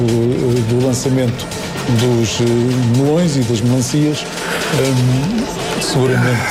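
Man, European Portuguese accent, sports presenter, hesitant fillers, mid voice, music behind. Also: mid-range voice. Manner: hesitant, many fillers, asks panel questions. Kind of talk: live sports broadcast commentary.

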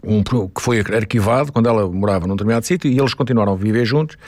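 A man's voice: on the low side, darkish tone, no echo. Portuguese man explaining on radio, dry. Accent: European Portuguese. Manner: measured, many restarts and fillers. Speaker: crime commentator.

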